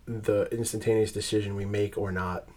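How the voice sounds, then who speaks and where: A man co-hosting a podcast, talking casually in a hesitant way, with lots of pauses and restarts. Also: on the low side, level tone, a little mellow. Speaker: podcast co-host. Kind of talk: casual chat.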